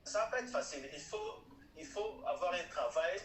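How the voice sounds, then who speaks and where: A man talks fast with a lively, bouncing pitch that sits a bit high. He sounds distant and echoey. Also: slight background noise. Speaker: interviewee on a call. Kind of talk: casual chat.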